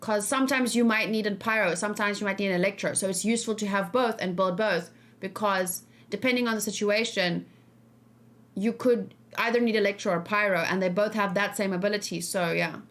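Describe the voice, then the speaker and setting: A woman's voice: mid-range voice, brisk, fairly bright tone. Female livestream host, mid-range voice, conversational and emphatic, puts questions to viewers. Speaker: streamer hosting a reaction and discussion. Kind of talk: casual livestream chat, answering viewers' questions.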